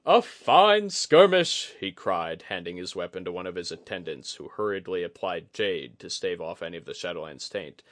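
A man's voice: low voice, steady tone, brisk, few pauses, fairly bright tone, no echo. A man narrating a fantasy story as a dramatic, scripted audiobook reading.

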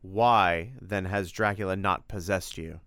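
A grave storybook monster hunter, as a man performs him, stiff and formal with a temper underneath. His old-fashioned phrasing comes through clearly in a dry, close recording. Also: deep voice, steady tone, unhurried, a bit bright, no echo. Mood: solemn.

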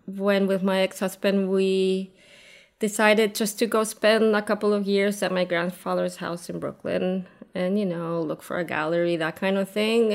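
A woman, a photographer giving candid, reflective interview answers with a wry, easy laugh. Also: medium pitch. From Argentina. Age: 50s.

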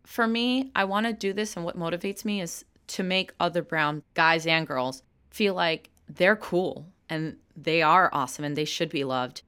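A woman's voice: lowish voice, moderate pace, few pauses, a bit bright, smooth. A woman in her thirties, an actor and comedian, answering interview questions frankly and laughing easily. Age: 30s.

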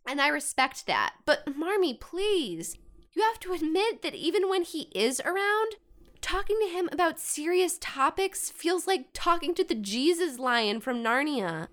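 An actress playing a blunt, sarcastic young American daughter in a naturalistic modern audio drama, quick to retort. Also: female voice, high voice, very animated, a bit bright, heavily acted. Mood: defensive, irritated.

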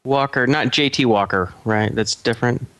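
Male podcast host from Atlanta, middle pitch, dry close mic, chatty, fillers. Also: moderate pace, a bit bright, no room sound. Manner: chatty, friendly asides, many fillers. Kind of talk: casual interview chat.

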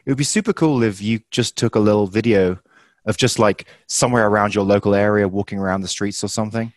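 A man hosting a casual conversation, asking relaxed, curious questions. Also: on the low side, quick pace, no echo, clean studio sound.

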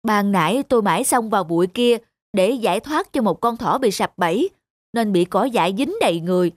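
A woman's voice: medium pitch, expressive, brisk, no echo, studio quality, natural voice. A woman voicing a scheming, hungry fox in a cartoon fairy tale, crafty and coaxing in manner. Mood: falsely friendly. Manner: coaxing, crafty, feigned nonchalance. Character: sly, scheming hungry fox posing as friendly. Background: music.

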